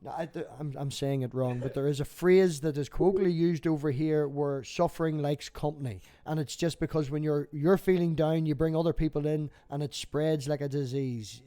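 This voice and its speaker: A man's voice: on the high side, steady tone, normal speed, few pauses, dark tone. A man hosting a casual debate chat, talking in a blunt, sweary way. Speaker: host.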